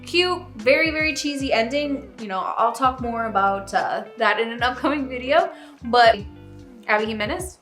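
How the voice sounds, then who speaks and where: A woman's voice: on the high side, moderate pace, a bit bright. Woman chatting casually in a roomy, echoey space. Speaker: book vlog co-host. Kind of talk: casual book chat. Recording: roomy echo, faint music behind.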